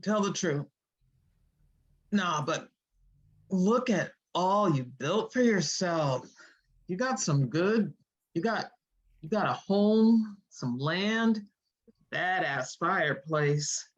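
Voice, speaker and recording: A woman's voice: lowish voice, lively intonation, takes it slowly, pauses often, slightly rough, highs cut off, heavily acted. A woman playing a witty, teasing poet visiting an old lover in a script read, with an American accent and slangy, playful delivery. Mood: warm, admiring. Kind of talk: informal screenplay table read.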